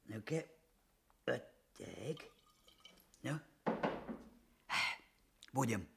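A man's voice: medium pitch, expressive, extremely slow, gravelly, background noise, heavily acted. An actor playing a cheeky young village man in a radio play, teasing and mocking with folksy banter. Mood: jovial, boastful. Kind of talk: Soviet radio play acting.

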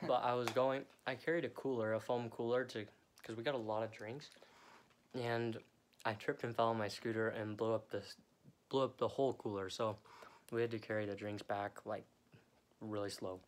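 A young man vlogging casually on a phone held at arm's length, joking and chattering quickly. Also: mid-range voice, background noise. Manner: goofy, jokey, hurried chatter. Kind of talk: casual vlog. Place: house rooms and outdoors at night.

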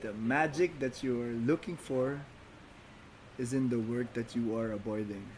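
A man from the Philippines, speaking as a non-native speaker, talks casually as a podcast guest, halting with many restarts and fillers. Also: mid-range voice, a little mellow, a little hiss or hum, low-quality recording. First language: Filipino languages. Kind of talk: casual chat.

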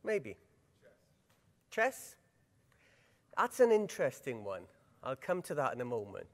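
A man's voice: medium pitch, unhurried. Welsh man presenting in a big hall. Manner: lively, engaging, asks the audience questions. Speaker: workshop presenter. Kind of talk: conference workshop talk. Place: large conference hall. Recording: long hall reverb.

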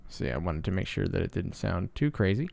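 Low-key guy explaining stuff in a casual tutorial, lots of vocal fry, dry sound. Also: big pitch swings, quick pace, darkish tone, dry recording. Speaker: sound designer, tutorial presenter. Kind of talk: casual screen-recorded tutorial narration.

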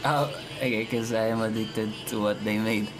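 A man in his twenties from the Philippines chatting with strangers on video and singing slow ballads to them. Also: lowish voice, steady tone, noisy background. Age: 20s. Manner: sings slow ballads to guitar, then flirts with quick questions. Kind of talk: casual video chat with sung serenades. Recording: loud guitar music behind the voice.

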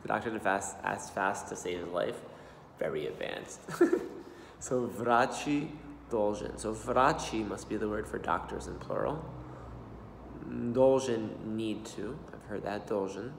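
A man in his thirties with an American accent, practicing a new language aloud at his desk, repeating words slowly and haltingly. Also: fairly low pitch, vocal fry, a little hiss or hum. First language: English. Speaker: language learner. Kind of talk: self-study vlog, reading phrases aloud. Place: small room indoors. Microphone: laptop webcam at desk. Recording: roomy echo with long reverb.

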